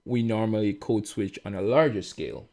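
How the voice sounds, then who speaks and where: A man's voice: lowish voice, lively intonation, moderate pace, warm tone. A young Jamaican man co-hosting a podcast, chatting casually and explaining things with everyday examples. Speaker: podcast co-host. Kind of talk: casual chat.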